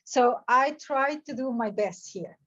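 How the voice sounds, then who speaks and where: Motivational speaker, woman, Mexican Spanish accent, high voice, lively questions to the audience, video call. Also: a little slow, muffled recording. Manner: animated, asks the audience questions. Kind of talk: energetic online workshop talk.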